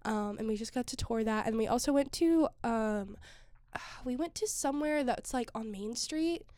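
A woman's voice: fairly high pitch, moderate pace, dark tone, no echo, studio quality. A young woman co-hosting a podcast in casual chat, a little congested from a cold, upbeat and full of fillers. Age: young adult. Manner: chatty, upbeat, many "like" fillers. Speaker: podcast co-host.

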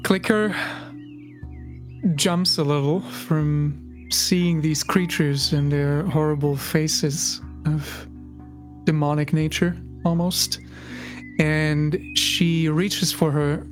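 A man playing a tabletop role-playing game, casually and haltingly narrating his character's actions. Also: on the high side, flat delivery, faint music behind.

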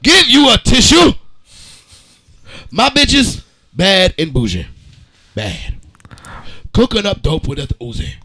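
A man co-hosting a podcast, giving a profane, slang-heavy mock-dramatic reading with theatrical pauses. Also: sits high, wildly swooping pitch, sharp tone, no echo. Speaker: podcast co-host.

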